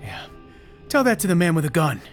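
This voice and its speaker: An actor playing a stubborn, agitated, paranoid conspiracy theorist in an audio drama, insistent and intense. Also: male voice, fairly high pitch, huge pitch swings, brisk, soft background music. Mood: defiant, urgent.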